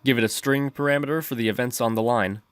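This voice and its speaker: A man's voice: mid-range voice, fast talker, smooth, no echo. A man narrating a programming tutorial in a methodical, instructional way.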